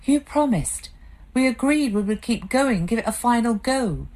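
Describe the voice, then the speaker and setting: A woman's voice: animated, normal speed, a little mellow, natural voice. A woman voicing a young wife desperate for a baby, who speaks in a pleading, raised voice. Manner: pleading, pitched high.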